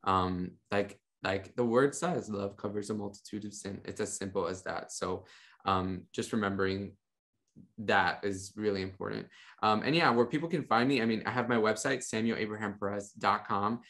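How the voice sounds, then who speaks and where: A young man on a video call, a podcast guest talking about his ministry in a relaxed, rambling way with many fillers. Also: lowish voice, normal speed. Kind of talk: casual interview talk. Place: home room with monitors. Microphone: studio mic on a video call.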